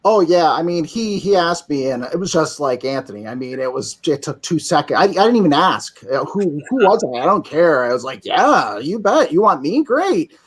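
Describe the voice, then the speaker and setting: A man's voice: on the high side, very animated, moderate pace, hardly any pauses, slightly rough. A man in his fifties, a voice actor guest, talking in an easygoing, self-deprecating, rambling way. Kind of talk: casual podcast interview.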